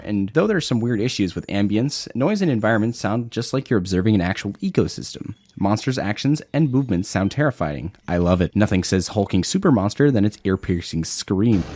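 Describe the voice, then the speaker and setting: A man's voice: fairly low pitch, a little mellow. A man narrating a casual scripted video game review in a sweary, sardonic way. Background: background music.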